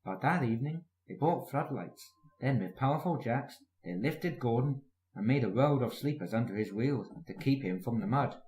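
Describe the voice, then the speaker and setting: A man's voice: mid-range voice, expressive, moderate pace, hardly any pauses, a little mellow. Guy narrating a kids storybook, very up and down delivery, echoey room. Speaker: storybook reader. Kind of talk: animated scripted children's story reading.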